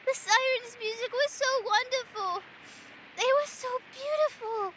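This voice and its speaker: A woman's voice: very high voice, a little slow, a bit bright, a little hiss or hum, poor audio. A woman playing a naive, dreamy young girl in an audio drama, wide-eyed and plaintive.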